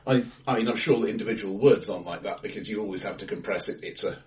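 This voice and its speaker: A man co-hosting a casual film discussion, often repeating words as he forms his point, recorded in a bright, echoey room. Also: lowish voice, quick pace, far from the mic. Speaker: podcast co-host. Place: acoustically bright room, face to face.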